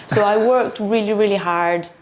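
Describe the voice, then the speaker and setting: A woman's voice: mid-range voice, steady tone, normal speed, a little hiss or hum. A woman in her thirties from Brazil with a Brazilian accent, chatting as an interview guest with frequent uh fillers. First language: Portuguese. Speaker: guest, property investor. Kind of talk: casual interview chat.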